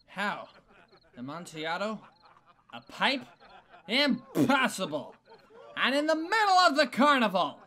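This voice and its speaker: An actor playing a drunken, excitable, boastful nobleman in a dramatic story reading, exclaiming in a tipsy, drawn-out way. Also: sits high, full character voice. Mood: astonished, excited.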